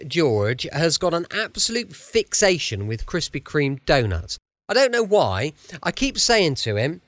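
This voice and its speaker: A British-accented man introduces his podcast in a chatty style. His voice sits slightly high and moves in lively swings. The recording is close and dry. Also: dry recording. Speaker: podcast host.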